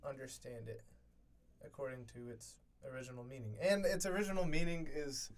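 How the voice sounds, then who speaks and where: A man in his twenties co-hosting a casual podcast from a college dorm room, easygoing and quick to laugh, with many fillers. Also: mid-range voice, fairly even intonation. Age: 20s. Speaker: podcast co-host. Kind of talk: casual chat.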